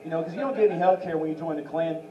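A man's voice: fairly high pitch, rapid-fire, warm tone, lo-fi sound. A young man doing stand-up at an open mic on a small club stage, into a handheld mic, who slips into impressions of famous voices. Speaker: stand-up comedian. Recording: roomy club audio from a far camera. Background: audience laughter.

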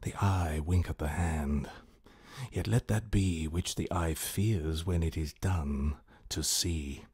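An actor playing an ambitious warrior thane in a Shakespearean radio drama, speaking in a formal, declamatory way. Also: male voice, rumbling low, takes it slowly, a little mellow, breathy edge, full character voice. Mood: dark, brooding. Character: ambitious Scottish warrior thane, outwardly loyal, secretly scheming.

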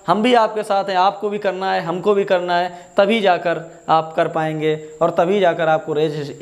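A young man explaining exam updates like a coaching teacher, repeating points and adding check-in questions. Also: high-pitched. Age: young adult. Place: small indoor room, standing at a whiteboard. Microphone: lapel mic.

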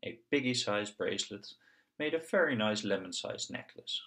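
A man hosting a story time, reading aloud to young children in an expressive, singsong way. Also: on the low side, normal speed. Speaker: children's story narrator and channel host.

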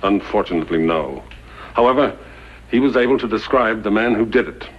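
An actor playing a sober frontier official in a 1950s radio western, formal and grave. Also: male voice, on the low side, lively intonation, fairly quick, husky. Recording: muffled old radio recording.

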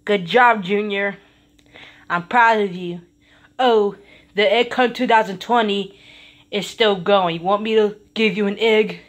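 A woman voicing a calm, unbothered man toy in a homemade skit, speaking flat and dry. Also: mid-range voice, measured pace, lightly acted. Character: calm low-voiced male YouTuber toy.

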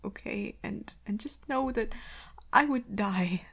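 A woman playing an anxious, tender werewolf girlfriend in an intimate ASMR roleplay, stammering and hesitant in a breathy half-whisper. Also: medium pitch, slow pace, fairly bright tone, heavily acted. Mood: anxious, pleading. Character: anxious, tender werewolf girlfriend, scared of hurting her partner.

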